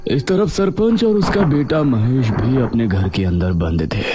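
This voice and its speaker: A male storyteller narrates a scripted cartoon tale dramatically. His pitch sits in the middle but moves in a lively way, with music underneath. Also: unhurried, noisy background.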